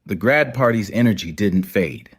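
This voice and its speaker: A man's voice: fairly low pitch, animated, normal speed, slightly rough. A man reading scripted narration for an audio story.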